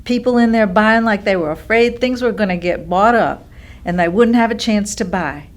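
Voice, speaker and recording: A woman's voice: mid-range voice, moderate pace, lightly acted. A woman playing a small-town storekeeper fondly recalling old days in a staged reading. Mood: fond, reminiscing. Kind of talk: staged monologue of oral history recollections.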